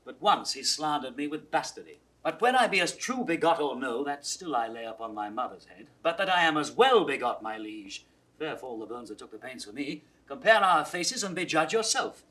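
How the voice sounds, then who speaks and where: A blunt, cheeky young British gentleman in a Shakespeare audio drama. His voice sits on the high side and swoops freely. The delivery is bantering, irreverent and theatrical. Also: very animated, moderate pace, rough recording. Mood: mischievous. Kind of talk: 1960s classical Shakespeare audio drama acting.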